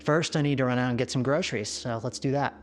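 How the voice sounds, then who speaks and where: A man's voice: medium pitch, steady tone, close to an everyday voice. An actor playing a lone apocalypse survivor, uneasy but practical, talking conversationally to his camera in a found-footage vlog. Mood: uneasy, casual. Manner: conversational, matter-of-fact, slightly deliberate. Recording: noisy camcorder-style recording with ambience.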